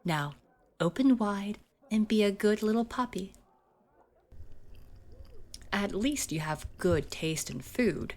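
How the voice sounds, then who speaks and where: A woman's voice: mid-range voice, animated, slow pace, pauses often, darkish tone. A woman playing a haughty, sadistic school bully in an ASMR roleplay, with a formal, condescending and taunting manner. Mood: smug.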